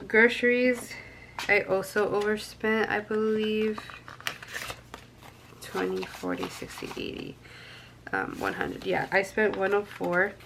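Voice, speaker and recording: A woman's voice: mid-range voice, lively intonation, takes it slowly, creaky, long pauses, noisy background. A young woman with a stuffy nose from a cold, chatting casually to camera and counting cash aloud with frequent ums. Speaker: budgeting vlogger.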